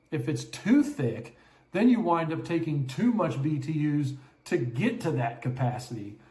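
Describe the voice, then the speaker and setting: A man's voice: on the high side, a little mellow, reverberant. A man confidently answering a listener's question about barbecue pits, explaining in a practical way. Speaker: guest pit expert.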